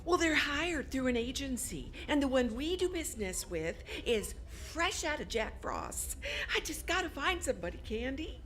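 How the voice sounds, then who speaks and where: A frazzled department store promotions manager in a live radio play. Her high voice swings up and down, fretful and excitable as she chatters. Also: moderate pace, few pauses. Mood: worried. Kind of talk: live radio drama acting.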